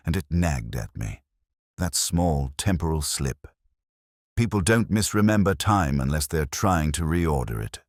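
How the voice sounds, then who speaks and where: A man with an extremely low voice narrates as a world-weary, middle-aged detective inspector. His delivery is reflective and literary, with wide melodic swings in pitch. The sound is dry and close, suited to an audio drama. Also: no echo. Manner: literary, measured, reflective, wry. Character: weary, introspective male English police inspector, middle-aged.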